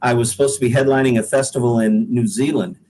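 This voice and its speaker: A man's voice: mid-range voice, steady tone, fast, darkish tone, poor audio. A middle-aged American man, a singer-songwriter chatting casually as a guest in a folksy, joking, rambling way. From Pacific Northwest, United States. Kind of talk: casual podcast interview chat.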